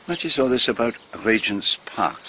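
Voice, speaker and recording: An actor playing a fastidious, self-assured Belgian detective with a French accent, speaking formally and deliberately in a radio drama. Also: male voice, medium pitch, animated, slight background noise, lightly acted. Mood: wry, probing. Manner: formal, deliberate, precise, theatrical pauses. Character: fastidious middle-aged foreign gentleman detective, shrewd, self-assured, theatrical. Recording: dull, lo-fi radio drama recording.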